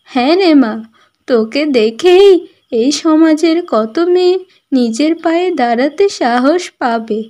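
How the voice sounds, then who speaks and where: A woman's voice: sits high, lively intonation, takes it slowly, dark tone. A woman voicing a doting, melodramatic mother-in-law in a family drama cartoon. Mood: tender, proud. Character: proud, emotional, doting older mother-in-law.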